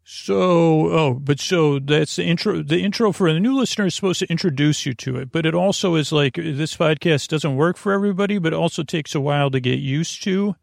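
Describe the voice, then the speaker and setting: A man with a creaky voice hosts a bedtime podcast, meandering haltingly through tangents with self-deprecating, absurd humour. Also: on the high side, dry recording, clean studio sound. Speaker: podcast host. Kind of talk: rambling bedtime podcast monologue.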